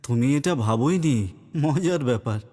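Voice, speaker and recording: A man's voice: mid-range voice, animated, moderate pace, dark tone, close to an everyday voice. A man voicing a brave, kind young prince in a cartoon fairy tale, earnest and reassuring. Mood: amused, reassuring. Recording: long hall reverb, music underneath.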